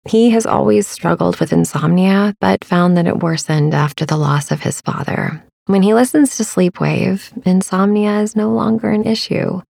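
A woman hosting a sleep meditation podcast, narrating softly in a hushed, breathy, soothing way. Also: medium pitch, normal speed, few pauses, no room sound, clean studio sound. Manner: hushed, breathy, near-whispering delivery.

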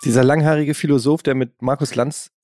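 A man's voice: medium pitch, steady tone, fairly quick, mellow, dry recording. A man co-hosting a podcast, teasing his partner with dry irony in casual chat. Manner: teasing, ironic. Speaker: podcast co-host.

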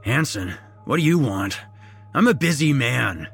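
A man voicing a gruff, impatient, bullying boss in a dramatized story, curt and domineering. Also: medium pitch, sing-song, a little slow, fairly bright tone, soft background music, pitched above the performer's own voice, heavily acted. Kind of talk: dramatized short story reading.

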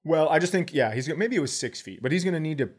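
A man's voice: on the high side, animated, racing, breathy edge. An American man co-hosting a comedy podcast, telling casual stories in a profane, joking, storytelling manner.

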